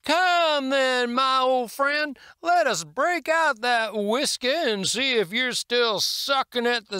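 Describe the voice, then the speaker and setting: A man voicing a hearty, boisterous colonial army sergeant who talks in a booming, jovial, mocking way. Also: bright, forceful tone, clean tone, no room sound, studio quality, heavily acted.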